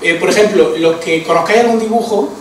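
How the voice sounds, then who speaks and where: A man's voice: high-pitched, fast, a little hiss or hum. A man with an Andalusian Spanish accent giving an informal conference talk, chatty, with many fillers and tag questions. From Andalusia, Spain. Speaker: conference speaker. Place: conference hall. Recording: echoey hall, voice from far off.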